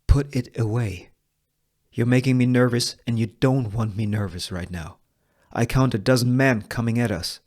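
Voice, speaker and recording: A man's voice: mid-range voice, normal speed, darkish tone, close to an everyday voice. A man voicing a tough, cool-headed, scheming woman gunslinger in a Western script, sardonic and commanding. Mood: wary.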